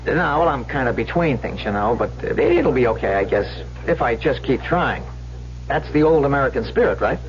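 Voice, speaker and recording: Actor as ingratiating young male hustler, vintage radio drama; mid-range voice, halting sincerity, dull old recording. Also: medium pitch, animated, lightly acted. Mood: bashful, friendly. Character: smooth-talking young male small-time swindler, feigning boyish shyness. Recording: muffled old radio recording, faint hum.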